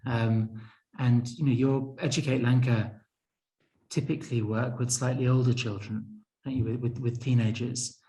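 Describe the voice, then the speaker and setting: A man's voice: medium pitch, steady tone, moderate pace, dark tone, low-quality recording. A man moderating a panel over a video call, polite and hesitant, with many restarts.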